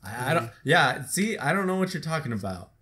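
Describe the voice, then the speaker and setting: A young man co-hosting a casual gaming podcast with friends, loud and laughing, swearing often. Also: fairly high pitch, big pitch swings, fairly quick. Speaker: podcast co-host. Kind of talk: casual chat among friends.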